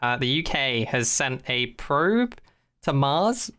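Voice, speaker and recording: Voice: medium pitch, big pitch swings, takes it slowly, fairly bright tone, clean tone. A podcast host chatting casually in a rambling, informal way, swearing freely and sounding amused.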